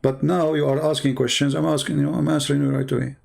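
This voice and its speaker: A man's voice: on the high side, flat delivery, quick pace, vocal fry, darkish tone. A middle-aged man from the Middle East whose first language is Arabic, hosting a live-stream lecture in an emphatic, repetitive way and throwing rhetorical questions at his viewers.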